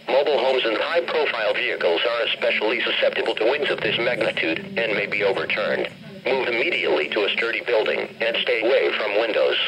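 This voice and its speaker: A synthetic man's voice reading an automated emergency weather bulletin in a measured, formal manner, played through a weather radio speaker. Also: mid-range voice, rough recording. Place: carpeted room in a home. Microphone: camera held near the radio. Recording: radio speaker picked up by handheld camera.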